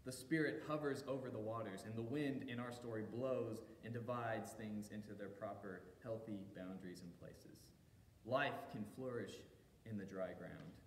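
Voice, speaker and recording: A man delivering a sermon as a pastor, telling the story in measured phrases with deliberate pauses and emphasis. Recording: echoey hall reverb, faint low rumble.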